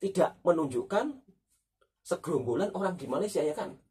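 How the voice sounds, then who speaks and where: A man with a markedly rough, husky voice. He hosts in a conversational commentary style, stressing points by repetition and checking for agreement with short tag questions. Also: a little high, animated, moderate pace, a little mellow, recorded from a distance. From Indonesia. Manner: emphatic, repeats points, frequent tag questions. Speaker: host of a reaction talk.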